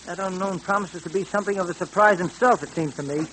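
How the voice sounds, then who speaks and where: An actor playing a weary, talkative detective's sidekick in an old radio drama, plain-spoken and folksy. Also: male voice, high voice, fast, fairly bright tone, rough recording. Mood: puzzled. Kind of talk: 1930s radio drama acting. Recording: hissy old radio recording.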